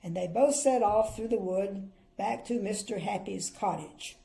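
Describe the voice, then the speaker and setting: A woman's voice: mid-range voice, a little slow, gravelly, a little hiss or hum. An older woman, a grandmother, reading a children's storybook expressively and adding chatty, opinionated asides. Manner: chatty, gently opinionated commentary. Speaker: grandmother reading bedtime stories.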